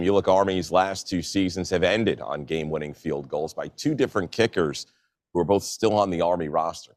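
A man's voice: low-pitched, dry recording, clean studio sound. An American man from New Jersey, a sports broadcaster giving conversational analysis as an interview guest. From New Jersey, United States.

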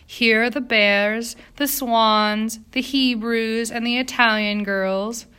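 A woman voicing a brisk, condescending society lady in an audiobook. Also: a little high, steady tone, bright, forceful tone, smooth. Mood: breezy, patronising.

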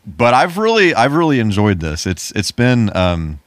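A man's voice: mid-range voice, sing-song, moderate pace, no echo. A man in a casual, rambling and enthusiastic chat about video games, as a podcast co-host.

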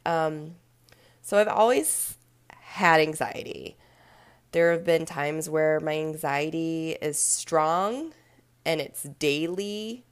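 Congested woman host, thirties, slow and candid, slightly low voice. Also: clear, resonant tone. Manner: candid, reflective, with frequent ums. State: congested, nasal from a sinus infection. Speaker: podcast host, yoga and fitness instructor. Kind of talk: casual solo talk.

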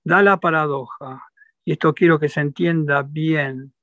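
An Argentine man with an Argentine Spanish accent, answering interview questions in a measured, emphatic way and repeating phrases as he thinks. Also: fairly high pitch, fairly even intonation, sharp tone, no echo. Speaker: interview guest. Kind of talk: reflective interview talk. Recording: dull lo-fi dry sound.

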